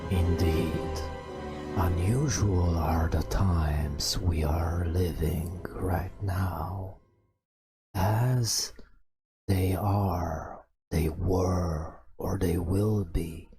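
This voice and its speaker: A man narrating an ASMR bedtime story in a soft, breathy, often whispered voice, in halting short phrases. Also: low-pitched.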